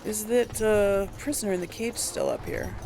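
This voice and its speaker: A woman's voice: medium pitch, expressive, moderate pace, gravelly, background noise. A woman hosting a gaming video, giving casual playthrough commentary and musing aloud hesitantly.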